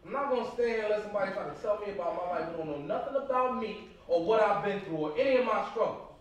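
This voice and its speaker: A man's voice: high voice, fast, heavy reverb, lightly acted. A young man on a theater stage acting an angry, self-justifying abusive boyfriend, slangy and emphatic, captured from far off. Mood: bitter, dismissive. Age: young adult. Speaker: stage actor. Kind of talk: stage play acting, emotional monologue. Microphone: distant camera.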